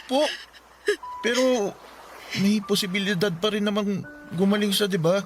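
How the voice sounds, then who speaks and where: A man's voice: sits high, normal speed, faint music behind, close to an everyday voice. A man playing an anxious, dutiful teenager in a Filipino radio drama, polite, hesitant and earnest. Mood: worried, hesitant. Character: dutiful, anxious teenage child of a poor family.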